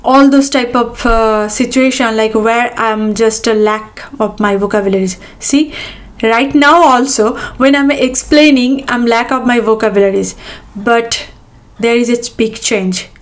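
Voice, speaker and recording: Woman host, Bengali-accented English, raised pitch, halting delivery with fillers. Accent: Bengali-influenced English. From India. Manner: hesitant, many fillers and restarts. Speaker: podcast host. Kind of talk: casual monologue.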